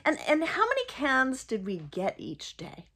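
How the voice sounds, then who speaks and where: A woman in her fifties reading her own first-person story aloud expressively, with measured delivery and dramatic pauses. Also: high-pitched, wildly swooping pitch, pushed and bright. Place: small indoor room, plain wall.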